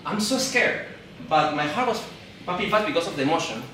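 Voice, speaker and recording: A Peruvian man in his thirties gives a talk on stage, his English carrying a Spanish accent. His voice is a little high and rings around a big echoey hall. He sounds some way off the mic and often stumbles, repeats and restarts his words. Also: expressive, sharp tone, distant mic, a little hiss or hum. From Peru. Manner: halting, repeats and restarts words, laughs along with the crowd. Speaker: event speaker. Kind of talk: live stage talk to an audience. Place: event hall.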